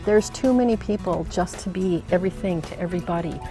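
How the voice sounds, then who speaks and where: A middle-aged woman speaking as a job coach, with a voice that sits a little low. She talks with emphatic, energetic pep-talk drive, and music plays clearly under her. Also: quick pace. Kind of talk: documentary interview.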